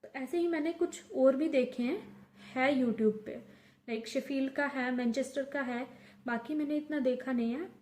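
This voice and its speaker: Young woman from India, casual vlogger, mellow higher voice, roomy echo. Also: a little slow. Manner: chatty, mixes English words into her talk, many restarts. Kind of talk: casual advice vlog. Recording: echoey room.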